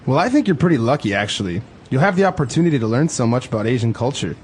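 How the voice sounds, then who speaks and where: A man's voice: mid-range voice, sing-song, rapid-fire, a little mellow, a little hiss or hum. Enthusiastic man reading a dialogue. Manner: enthusiastic, encouraging. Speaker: student in scripted dialogue. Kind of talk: read scripted dialogue.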